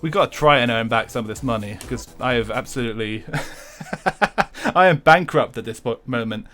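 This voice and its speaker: A man's voice: mid-range voice, normal speed, bright, forceful tone, soft background music. A young English man chatting casually with his viewers while streaming a racing game, swearing freely and laughing often.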